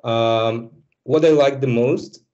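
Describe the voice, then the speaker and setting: Mellow-voiced man with dull lo-fi sound. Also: mid-range voice, slow. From Belarus. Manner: fluent, articulate, businesslike. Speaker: student speaker. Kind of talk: personal testimonial.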